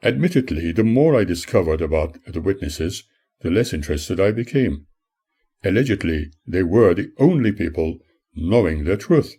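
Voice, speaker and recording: An older man, an author, reads his own memoir as scripted audiobook narration in a formal, measured manner. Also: low voice, dark tone, slightly rough.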